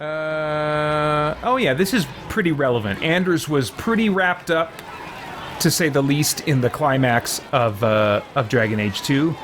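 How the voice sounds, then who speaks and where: A man's voice: a little high, a little slow, background noise. A man hosting a let's play, giving casual, hesitant commentary as he thinks aloud. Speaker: gaming channel host.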